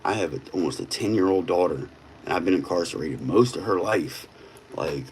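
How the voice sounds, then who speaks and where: A man's voice: low-pitched, animated, fairly quick, a little mellow, slight background noise. Blunt streetwise man in his thirties. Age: 30s. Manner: blunt, streetwise, peppered with "bro". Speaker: livestreamer. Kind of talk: casual livestream chat.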